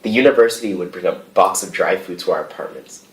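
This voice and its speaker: A young man from the United States giving a prepared, formal speech as a student leader, in a measured, earnest manner. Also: sits low. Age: young adult. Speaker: student association president. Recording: distant, echoey remote audio.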